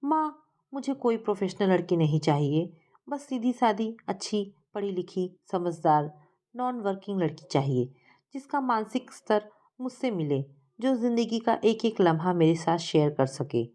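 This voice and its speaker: A woman's voice: mid-range voice, lively intonation, unhurried, mellow, natural voice. A woman voicing an earnest, wishful young man in a narrated story. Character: young man earnestly describing his wished-for bride.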